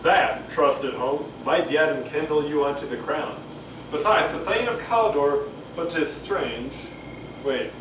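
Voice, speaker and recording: A man doing a halting, rambling, unrehearsed read-aloud of Shakespeare, stumbling and going back over lines. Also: fairly high pitch. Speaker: amateur reader of a play. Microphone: camcorder microphone at a distance. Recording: roomy, distant camcorder mic with clear noise.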